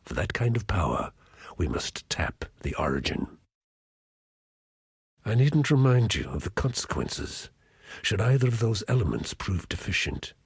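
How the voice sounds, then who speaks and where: An imperious high templar in a video game, issuing formal orders with cold menace. The voice is lowish and extremely raspy. His pompous, precise delivery rises and falls with animated emphasis. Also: measured pace, no room sound, clean studio sound, heavily acted. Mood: coldly menacing.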